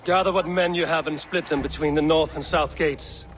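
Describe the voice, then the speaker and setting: An actor playing a steadfast, loyal Viking warrior in a video game, with a direct and assured manner. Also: male voice, fairly high pitch, steady tone, moderate pace, faint music behind, natural voice. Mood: steady.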